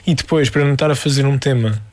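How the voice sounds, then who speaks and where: A man's voice: mid-range voice, rapid-fire. A man from the Algarve with a European Portuguese accent, a musician answering interview questions with many fillers and restarts. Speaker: musician guest.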